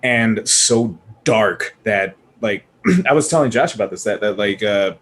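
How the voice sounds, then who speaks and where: Animated man chatting over some room noise. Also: fairly low pitch, a little slow. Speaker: podcast panelist. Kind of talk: casual panel chat about movies.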